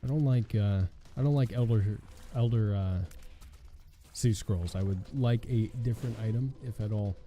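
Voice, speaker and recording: A young man with a hoarse voice commenting casually on his own gameplay, thinking aloud with a wry tone and muttering as he reads. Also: on the low side, normal speed, warm tone, highs cut off, a little hiss or hum. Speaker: gaming channel host. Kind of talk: casual let's play commentary.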